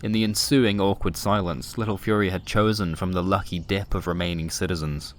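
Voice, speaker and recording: A man's voice: low voice, steady tone, fairly quick, slight background noise. A man reading scripted comic science fiction as a storyteller, with a wry, dramatic delivery. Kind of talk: scripted comic sci-fi narration.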